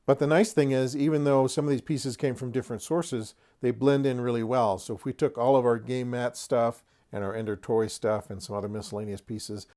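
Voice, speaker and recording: A man's voice: mid-range voice, fairly even intonation, fairly quick, hardly any pauses, dark tone. Folksy middle-aged man hosting casually. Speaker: host of a tabletop wargaming channel. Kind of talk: casual product showcase to camera.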